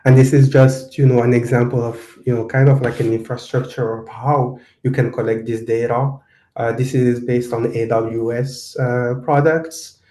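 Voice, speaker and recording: A man from Morocco giving a tech seminar talk and answering interview questions, halting with many fillers and restarts. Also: mid-range voice, level tone, mellow. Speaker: guest speaker, technical program manager. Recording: roomy echo.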